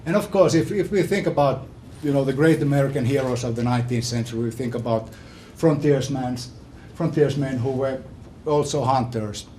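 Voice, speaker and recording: Mellow Finnish-accented man lecturing haltingly. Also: mid-range voice, a little hiss or hum. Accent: strong Finnish. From Finland. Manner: halting, repeats words and phrases often. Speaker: visiting scholar giving a lecture. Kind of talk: informal academic lecture to an audience.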